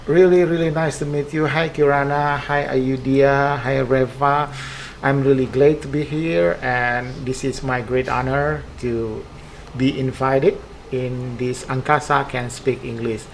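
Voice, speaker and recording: An Indonesian man in his fifties answers interview questions in English, slowly and unhurriedly, with frequent pauses and fillers. His tone is friendly and joking. A faint hiss of noise sits under his voice. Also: medium pitch, steady tone. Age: 50s. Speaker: guest, air force base commander.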